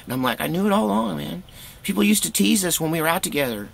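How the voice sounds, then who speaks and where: A man's voice: fairly high pitch, expressive, fast, vocal fry. A middle-aged American man telling a personal story casually, in a candid, folksy, rambling way.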